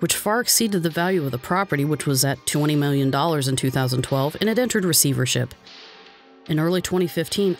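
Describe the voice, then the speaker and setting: A man with an American accent reading scripted documentary narration in a measured, informative way. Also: on the high side. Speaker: video narrator. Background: background music.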